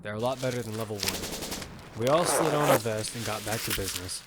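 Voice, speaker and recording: A man's voice: on the low side, fairly quick, heavy background noise, lightly acted. A man voicing Damian, a young battle survivor, narrating the story in first person in an earnest, matter-of-fact way. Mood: steady. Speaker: narrator and lead character. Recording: loud music score behind.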